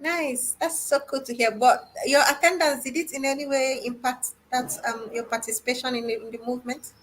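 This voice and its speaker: Female online host, chatty and exclamatory, sharp bright tone, slight noise on a video call. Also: high voice, steady tone, brisk, rough recording. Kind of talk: casual hosting of a video call session.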